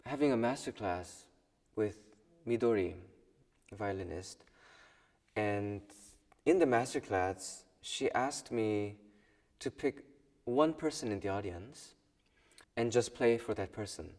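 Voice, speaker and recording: A young man in his twenties from South Korea, a violin student, speaking thoughtfully with pauses in an interview. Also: on the low side, animated, long reverb tail, recorded from a distance. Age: 20s. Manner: thoughtful, soft-spoken with pauses and small laughs. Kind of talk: personal documentary interview.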